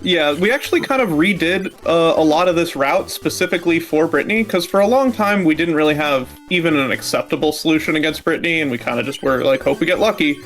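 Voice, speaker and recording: Man, slightly high voice, casual speedrun commentary, explanatory, music in background. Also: quick pace, few pauses, fairly bright tone.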